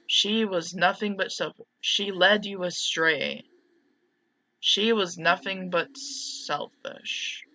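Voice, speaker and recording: A woman's voice: lowish voice, slow, bright, forceful tone, heavily acted, rough recording. A woman voicing a possessive, manipulative mother from a visual novel in a controlled, cloying manner. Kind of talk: visual novel dialogue read aloud.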